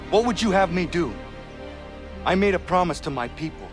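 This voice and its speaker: An actor playing an earnest, righteous young half-Mohawk assassin in a video game, with formal, measured phrasing. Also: male voice, sits high, lively intonation, music in the background. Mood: indignant. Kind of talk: dramatic video game acting.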